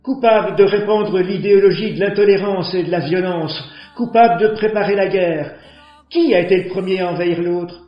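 A man voicing a young caravan-dwelling neighbour who turns into an accusing conscience, high-pitched and declaiming. The sound is echoey and dull, with faint music under it. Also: moderate pace, close to an everyday voice. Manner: accusing, declamatory moral speech.

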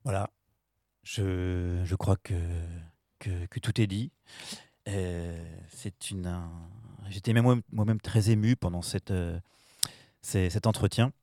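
A man's voice: low-pitched, fairly even intonation, slow pace, mellow, dry recording, studio quality. A man hosting an interview, talking hesitantly with many fillers. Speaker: show host.

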